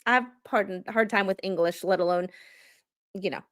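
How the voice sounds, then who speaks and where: Casual woman livestreamer, halting and chatty. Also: mid-range voice, a bit bright. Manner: informal, chatty, self-deprecating, halting when reading aloud. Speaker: livestream host and legal commentator, non-lawyer. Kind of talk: casual live commentary while reading legal filings aloud.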